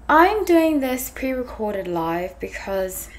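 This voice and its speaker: A woman's voice: fairly high pitch, sing-song, slow pace, a little hiss or hum. Chatty woman rambling to her webcam. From New York, raised in South Africa and England. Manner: chatty, rambling, many fillers and restarts. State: tired and hot. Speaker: tarot channel host. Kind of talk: casual talk to camera. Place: small room indoors.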